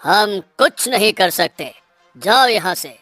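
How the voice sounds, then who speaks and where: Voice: medium pitch, lively intonation, slow pace, bright, forceful tone, dry recording, faint music behind, full character voice. A performer voicing a stern, haughty king in a Hindi cartoon, curt and imperious. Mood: cold, dismissive.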